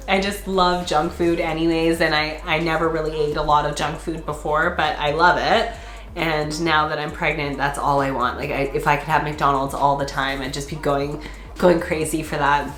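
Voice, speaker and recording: Congested lady vlogging, chatty, lots of likes and giggles, lowish voice, echoey room. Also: fairly even intonation, normal speed, fairly bright tone. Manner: chatty, laughs often, many fillers. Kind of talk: casual chat.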